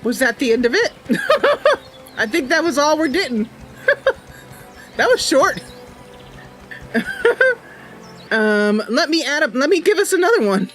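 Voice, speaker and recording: A woman hosting a casual gameplay livestream, chatty and giggly, with hesitant pauses. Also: high-pitched, very animated. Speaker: livestream host. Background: game music and ambient sounds.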